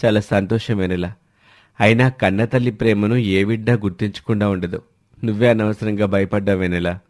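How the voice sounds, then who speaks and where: A man's voice: on the low side, steady tone, brisk, a little mellow, lightly acted. A man playing a concerned, patient husband and brother who reasons with relatives in a family story. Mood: concerned, reassuring. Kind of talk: dramatized family story dialogue.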